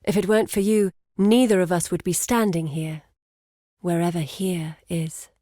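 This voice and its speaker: A woman's voice: on the low side, fairly even intonation, moderate pace, warm tone, dry recording, studio quality, natural voice. An actress playing an earnest, determined woman guide in a video game, with a grave, articulate delivery. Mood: composed, solemn. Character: earnest, determined woman guide searching for her lost father. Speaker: game character voice. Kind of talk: dramatic video game voice acting.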